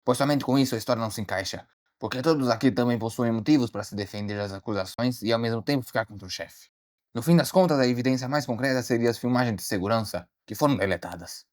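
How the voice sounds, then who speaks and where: A man playing an earnest, easily startled detective in an animated mystery short, theatrical as he deliberates aloud. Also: mid-range voice, natural voice. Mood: grave, deducing. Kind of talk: dramatic monologue, animated short voice acting.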